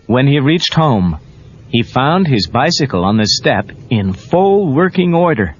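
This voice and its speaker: A man's voice: mid-range voice, sing-song, unhurried, slightly rough. A man reading scripted storybook narration as the narrator of a read-along tale. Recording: dull cassette tape with music.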